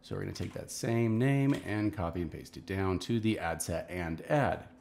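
Low-voiced man giving a tutorial. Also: moderate pace, gravelly, darkish tone, slight background noise. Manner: instructional, step-by-step. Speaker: marketing tutorial host. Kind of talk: casual screen-share tutorial narration. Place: small home office, indoors.

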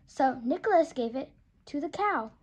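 A woman reading a children's picture book aloud, gentle and expressive, slowing down for the story and putting on small voices for the animals. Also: high-pitched. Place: indoors at a table, turning a picture book.

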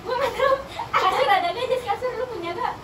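A woman's voice: very high voice, over a noisy crowd. A young woman in her twenties, playful and giggly, hosting a casual joking chat while sitting on a floor. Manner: playful, giggly, quick banter. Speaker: host. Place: small room with foam panels, sitting on floor. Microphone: far camera. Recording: echoey room from far off, noisy.

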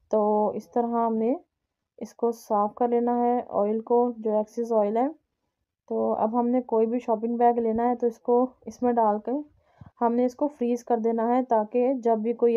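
A woman narrating a home recipe as host, explaining step by step and repeating her instructions. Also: a little high. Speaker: home cooking channel host. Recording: dull, lo-fi home recording.

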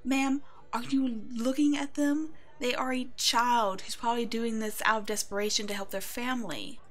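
A woman playing a kind, protective werewolf in an ASMR roleplay drama, speaking gently and reassuringly as if to a child. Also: a little high, steady tone, normal speed, natural voice. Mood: indignant, protective. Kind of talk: ASMR roleplay audio drama, one-sided dialogue.

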